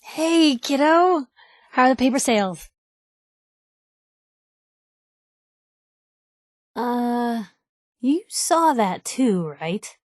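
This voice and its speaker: An actress playing a feisty, sarcastic young woman reporter in a video game, with a brash, conversational and expressive delivery. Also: high-pitched, slow pace, no echo, clean studio sound. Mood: wry, concerned. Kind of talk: video game companion voice lines.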